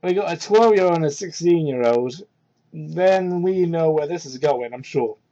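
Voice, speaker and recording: A man's voice: expressive, normal speed, dark tone. A man doing a mocking dramatic read-aloud with sarcastic, incredulous asides and theatrical emphasis, recorded on a cheap microphone. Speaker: host doing a dramatic reading of fan fiction. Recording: muffled, low-quality cheap microphone.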